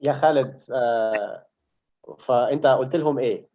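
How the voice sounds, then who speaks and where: A man co-host puts questions casually in a mid-pitched voice. He talks quickly, and the recording is dull and lo-fi. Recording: dull lo-fi sound.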